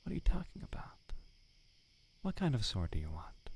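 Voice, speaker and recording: A man playing a prickly, secretly smitten swordsmith in a soft, half-whispered ASMR roleplay, halting and teasing as he talks. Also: medium pitch, huge pitch swings, exaggerated voice. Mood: teasing, flustered. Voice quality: breathy, gravelly, often half-whispered. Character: tsundere male swordsmith, prickly yet flustered, secretly smitten.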